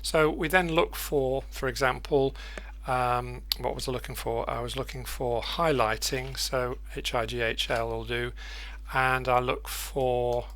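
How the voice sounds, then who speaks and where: Man, software tutorial presenter, mid-pitched, unhurried pace, off-the-cuff, hesitant, repeats words while thinking aloud. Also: steady tone. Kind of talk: unscripted screen-share tutorial.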